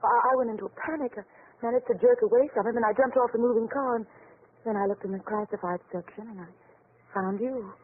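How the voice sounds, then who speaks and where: A woman's voice: fairly high pitch, fast, close to an everyday voice. An actress playing a frightened, mysterious young female amnesiac client in 1940s radio style, breathless, earnest and melodramatic. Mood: anxious recounting. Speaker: actress in a radio detective series. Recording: tinny, noisy 1940s radio recording.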